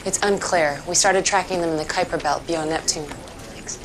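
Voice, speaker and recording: An efficient female intelligence officer played by an actress briefing in a video game cutscene. Her voice is quite low, her delivery factual and urgent, with clear noise and ambience behind it. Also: normal speed, close to an everyday voice. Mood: urgent, businesslike. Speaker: military operations officer character.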